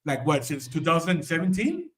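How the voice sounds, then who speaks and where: A man chats casually on a podcast, slipping back and forth between English and Spanish. His voice sits a bit high. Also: sing-song, normal speed, fairly bright tone. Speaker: podcast co-host. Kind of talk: casual chat.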